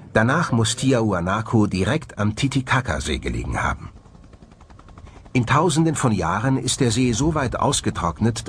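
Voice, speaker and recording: A man's voice: fairly low pitch, a little hiss or hum. A man presenting a documentary in scripted narration.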